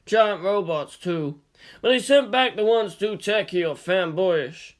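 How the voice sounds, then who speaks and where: A narrator voicing a gruff, blunt, teasing old man who runs a manga shop in an audiobook story. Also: mid-range voice, a little slow, pitched above the performer's own voice, lightly acted. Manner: blunt, grumbling.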